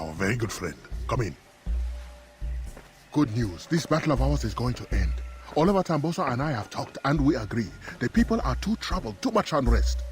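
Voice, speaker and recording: A man playing a calculating, coldly menacing warlord boss in a video game, giving orders in a measured, formal, commanding way. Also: mid-range voice, natural voice. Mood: smug, commanding. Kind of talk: video game cutscene acting.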